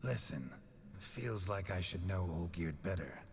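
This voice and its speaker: A man's voice: deep voice, animated, measured pace, soft background music. An actor playing a terse, dry-humored male monster hunter in a video game. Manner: dry, clipped and understated. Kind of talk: video game cutscene acting. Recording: game audio with noisy ambience.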